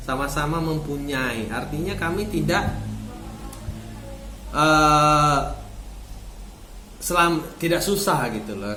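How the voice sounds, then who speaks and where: A middle-aged man speaks casually and reflectively to his viewers, circling back over his phrases. His pitch sits in the middle of the male range. The room is echoey, with faint noise behind him. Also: fairly bright tone. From Indonesia. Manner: reflective, repeats phrases, often ends with tag words. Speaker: vlogger and seminar speaker. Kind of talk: casual personal storytelling to camera. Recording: roomy echo, faint background noise.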